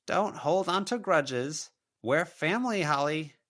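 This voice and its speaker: A man's voice: on the high side, lively intonation, takes it slowly, fairly bright tone, voice pushed up. A man voicing the ghost of an older woman, pleading, aggrieved and full of excuses, in an audiobook.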